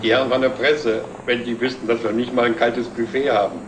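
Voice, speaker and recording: Casting: man to play wry company boss, medium pitch, TV drama; roomy, noisy vintage sound. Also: little pitch movement, brisk, recorded from a distance, natural voice. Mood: wry, amused. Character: wryly mocking male company director, sardonic and self-ironic. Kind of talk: scripted television drama dialogue.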